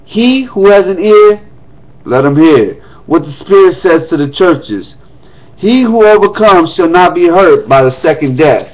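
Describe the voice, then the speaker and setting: A man reading scripture aloud in a steady voice, now and then breaking off into casual asides to his listeners. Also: high voice, measured pace. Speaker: scripture reader. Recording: dull lo-fi recording.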